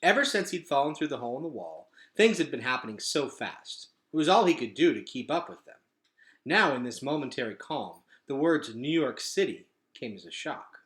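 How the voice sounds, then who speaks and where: A man reading a storybook aloud as an expressive, dramatic narrator. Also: mid-range voice, brisk. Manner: animated, dramatic. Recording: echoey room.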